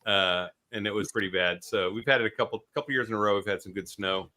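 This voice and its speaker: Casual bright-toned guy rambling on a group call, restarts a lot. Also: lowish voice, fairly even intonation, quick pace. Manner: chatty, restarts mid-sentence. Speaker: guest on a group video call.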